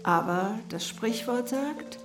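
A woman playing an aunt with a deliberate storytelling manner in a radio drama. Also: a little high, animated, echoey room, far from the mic, over loud music. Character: aunt reading a fairy tale to her little nephew.

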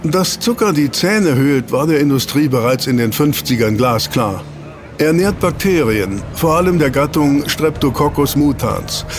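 A man's voice: on the high side, animated, unhurried, noisy background. A man playing an eager researcher in a German audio drama, explaining to his team and teasing out reveals with rhetorical questions. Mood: explanatory.

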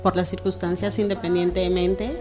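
A woman from Colombia chatting on a radio panel, lively and laughing often. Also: lowish voice, normal speed, over music. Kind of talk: casual radio chat.

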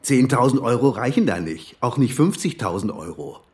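A man's voice: medium pitch, expressive, normal speed, darkish tone, natural voice. Blunt, folksy police chief played by a man. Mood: explaining. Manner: colloquial, bossy, chummy. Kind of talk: naturalistic German radio crime drama acting.

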